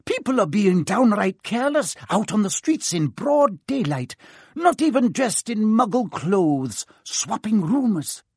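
A man's voice: high-pitched, sing-song, unhurried, darkish tone, slightly rough, dry recording, studio quality, full character voice. A man voicing a stern, prim older woman professor of witchcraft who talks in a clipped, impatient way. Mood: impatient scolding. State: trembling with shock at the end. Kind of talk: audiobook character voice.

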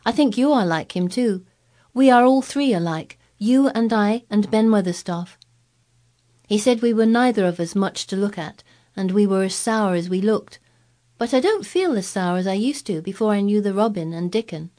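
A woman's voice: medium pitch, animated, normal speed, dark tone, pitched above the performer's own voice, close to an everyday voice. A woman voicing a blunt, sour-tempered young girl in a storybook reading, frank and earnest.

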